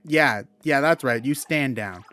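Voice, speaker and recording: A man's voice: mid-range voice, unhurried, pushed and bright, no room sound. A man giving casual video game commentary, talking in a hesitant, rambling way. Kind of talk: casual gameplay commentary chat.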